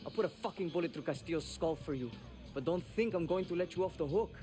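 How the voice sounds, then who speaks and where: A man's voice: a little high, fast, lightly acted. A man playing a grim, blunt revolutionary. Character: hard, bitter male revolutionary fighter. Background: game score music.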